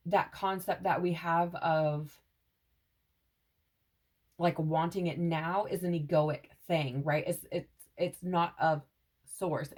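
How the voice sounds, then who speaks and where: Low-voiced woman giving a rambling tarot reading. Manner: meandering, repeats "right?" and "like", intuitive channeling tone. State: scratchy throat. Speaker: tarot reader. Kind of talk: casual spiritual tarot reading to camera.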